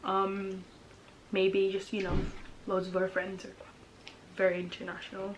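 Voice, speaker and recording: A woman's voice: mid-range voice, completely flat delivery, unhurried. Young woman, casual chat, rambling, filler-heavy, faint background hum. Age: young adult. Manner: rambling, many "um", "like", "kind of" fillers. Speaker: vlogger, older sister. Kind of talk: casual chat while eating.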